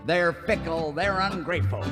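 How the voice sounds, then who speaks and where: A man's voice: on the high side, moderate pace, big-room sound, natural voice. A man playing a cranky, boastful old cowboy sidekick with a folksy American drawl in a western radio comedy. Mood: preachy, folksy. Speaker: comic singing sidekick. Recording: music behind the voice.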